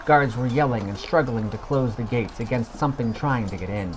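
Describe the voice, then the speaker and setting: A man narrating a scripted fantasy story as a podcast storyteller, in a measured reading manner. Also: mid-range voice, noisy background.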